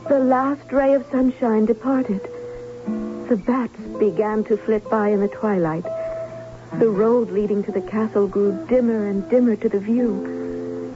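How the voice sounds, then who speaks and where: An actress playing a sombre young bride who narrates her own story in a slow, measured, literary way for radio drama. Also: fairly high pitch. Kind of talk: dramatic first-person narration, old-time radio drama. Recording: thin phone-like old radio recording.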